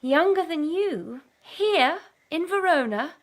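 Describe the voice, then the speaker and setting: A woman playing a commanding, brisk aristocratic mother in a Shakespearean audio drama, formal and persuasive in her delivery. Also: very high voice, expressive, full character voice. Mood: businesslike. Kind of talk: Shakespearean full-cast audio drama acting.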